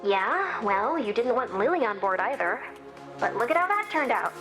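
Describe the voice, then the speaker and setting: A woman's voice: fairly high pitch, very animated, moderate pace, over music, rough recording. A woman playing a bossy, no-nonsense mission officer in a video game, with a sardonic, blunt and casual delivery. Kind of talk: scripted video game dialogue.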